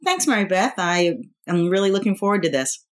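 A woman's voice: medium pitch, very animated, a little fast. An American woman in her fifties from New York, talking as a guest speaker in a casual, conversational interview. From New York, United States. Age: 50s.

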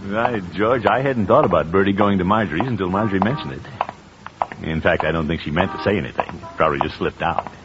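An actor playing a pompous, good-hearted middle-aged American uncle in an old-time radio comedy. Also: male voice, sits low, fast talker, loud music behind the voice, lightly acted. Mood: pensive. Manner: pompous, musing, theatrical. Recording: muffled old radio recording, background hiss.

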